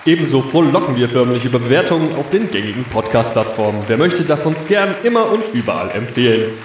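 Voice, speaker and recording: A man's voice: medium pitch, very animated, fast. A man reading a scripted podcast outro in a formal, playfully ornate manner. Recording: very roomy, distant, faint noise.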